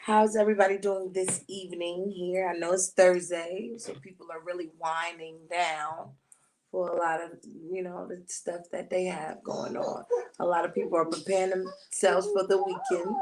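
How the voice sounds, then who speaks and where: A woman with a raspy voice hosting a casual live podcast, talking earnestly and repeating herself often. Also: lowish voice, a little mellow. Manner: repetitive, earnest, many restarts. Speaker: podcast host. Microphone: handheld mic.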